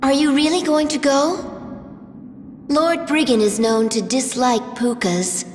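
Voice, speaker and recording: Young noblewoman, worried, polite, high voice, echoing, music underneath. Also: fairly even intonation, measured pace, darkish tone. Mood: concerned. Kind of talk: fantasy video game voice acting. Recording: echoey hall reverb, music behind.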